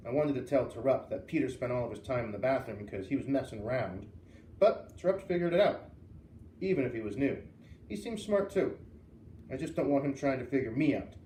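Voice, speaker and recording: A man plays a grumpy storybook schoolboy who is blunt and dismissive about everyone. The delivery moves up and down in pitch. It is heard a bit away from the mic in a reverberant room. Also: warm tone, lightly acted. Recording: roomy, distant mic, faint noise.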